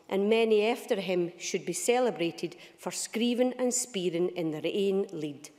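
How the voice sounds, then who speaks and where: A woman's voice: mid-range voice, darkish tone. A Scottish woman giving a formal parliamentary speech, full of Scots words. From Scotland. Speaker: member of parliament. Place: large debating chamber. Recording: long reverb of a debating chamber.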